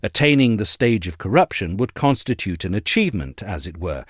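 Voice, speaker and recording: A man reading a scripted essay as narrator, in a measured, articulate, formal manner. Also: fairly low pitch, big pitch swings, husky, dry recording, studio quality.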